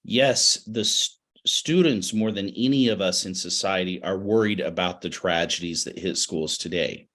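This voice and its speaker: A middle-aged American man with a slightly raspy, cold-affected voice, speaking as an interview guest in a measured, halting, earnest way. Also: low-pitched, fairly bright tone. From Utah, United States. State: has a cold. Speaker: interview guest, education professional. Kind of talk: reflective webinar interview.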